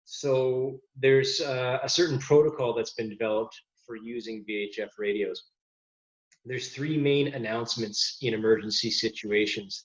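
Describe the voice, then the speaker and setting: A man giving an informal instructional talk as a presenter, explaining things plainly and a little haltingly. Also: medium pitch. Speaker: presenter giving a safety lesson to boat reenactors. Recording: dull, lo-fi voice recording.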